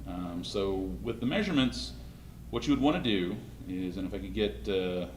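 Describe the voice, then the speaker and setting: A man with a low, slightly hoarse voice walks through a costume demonstration in a halting way, often restarting his sentences. He sounds set back from the mic in an echoey convention room, with faint ambient noise around him. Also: a little mellow, distant mic. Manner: halting, many restarts and fillers. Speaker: convention panel presenter. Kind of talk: live costume-making demonstration.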